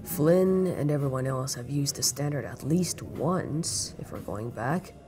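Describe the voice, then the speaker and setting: A woman's voice: very deep voice, expressive, a little slow, music in the background. A woman playing a composed, wry syndicate operative in an audio roleplay, speaking in an understated, knowing way. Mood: composed, assured. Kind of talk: dramatic audio roleplay acting.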